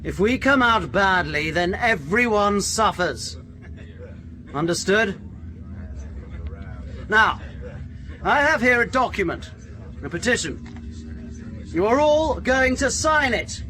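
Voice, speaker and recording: Prison officer, self-serving bully; high, forceful bark, radio drama with noise behind. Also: male voice, very animated, slow pace, long pauses, exaggerated voice. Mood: menacing, officious. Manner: barking, commanding, clipped. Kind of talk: period radio drama acting.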